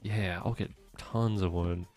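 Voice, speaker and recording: A young man streaming a game from home, chatting with his viewers in a soft, meandering way. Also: sits low, steady tone, a little slow, soft background music. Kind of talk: casual gameplay commentary.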